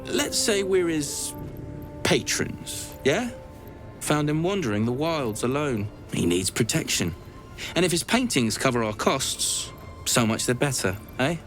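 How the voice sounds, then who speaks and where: A man's voice: a little high, big pitch swings, measured pace. A man playing a roguish smuggler in a video game, with a cheeky, haggling, colloquial manner. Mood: sly salesmanship. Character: gruff roguish male smuggler hawking a captive painter. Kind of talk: video game character dialogue. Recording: game dialogue over clear background music.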